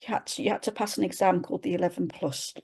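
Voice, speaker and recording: A woman's voice: on the low side, little pitch movement, quick pace, a little mellow, husky. A woman from England, sharing a personal reflection on a video call in a hesitant way, with frequent restarts as she searches for words. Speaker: webinar participant. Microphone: computer mic on a video call.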